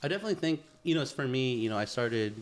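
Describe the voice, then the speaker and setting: A man's voice: medium pitch, expressive, very fast. A man in his thirties co-hosting a podcast, talking in a friendly, encouraging way with lots of fillers. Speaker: podcast co-host. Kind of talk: casual chat.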